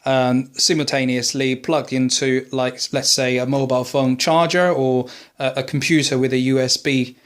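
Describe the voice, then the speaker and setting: A man's voice: medium pitch, level tone, normal speed, few pauses. A man presenting an informal product buying guide, explaining things plainly in an unscripted, advisory way.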